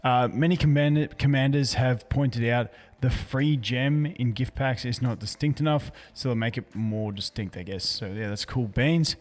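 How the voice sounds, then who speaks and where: A man with a hoarse, fading voice, talking casually through a game's update notes as a YouTuber. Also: medium pitch, moderate pace, few pauses, in a large hall. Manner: chatty and easygoing, restarts words often. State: hoarse, losing his voice. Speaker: gaming YouTuber. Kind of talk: casual game news commentary, reading patch notes.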